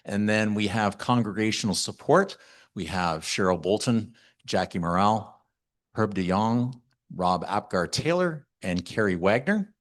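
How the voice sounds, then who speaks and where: A man chairing a church council meeting, reading out names haltingly and repeating words as he goes, in a meeting room. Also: on the low side, animated. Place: meeting room, also streamed online.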